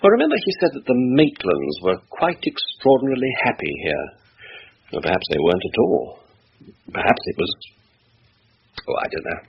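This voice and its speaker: An actor with an English accent playing a genteel, determined and reassuring husband in an old-time radio drama. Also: male voice, fairly low pitch, wildly swooping pitch, normal speed, gravelly, long pauses, heavily acted. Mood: thoughtful, reassuring. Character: determined, cheerfully reassuring English husband, former Hong Kong expatriate. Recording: muffled, hissy old radio recording.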